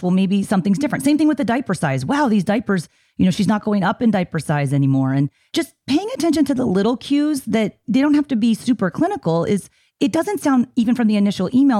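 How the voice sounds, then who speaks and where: Woman host, chatty, lively pitch, warm tone, dry close mic. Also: dry recording. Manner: chatty, relays a listener's question, then gives her own advice. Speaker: podcast host. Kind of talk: conversational podcast interview.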